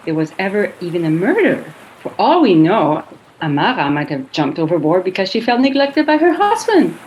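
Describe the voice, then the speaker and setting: A woman playing an excitable, sharp-tongued cruise passenger in a radio play, dramatic and challenging. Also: medium pitch, a little hiss or hum, lightly acted, poor audio.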